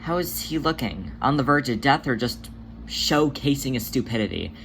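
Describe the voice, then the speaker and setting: Earnest young she-cat, voiced by a narrator, animated pitch. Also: moderate pace, a little hiss or hum, low-quality recording. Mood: worried, wry. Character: anxious, earnest young female cat, devoted girlfriend.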